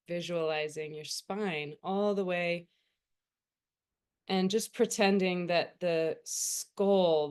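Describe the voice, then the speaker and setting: A woman leading a guided meditation as a teacher, speaking gently and soothingly with unhurried pauses between phrases. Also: fairly low pitch, clean tone.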